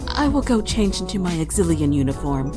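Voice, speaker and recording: A woman's voice: fairly low pitch, big pitch swings, brisk, music in the background. A woman playing a polite, reserved and bewildered young alien woman in an audio drama, speaking formally and hesitantly. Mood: apologetic. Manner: formal, hesitant, apologetic wording.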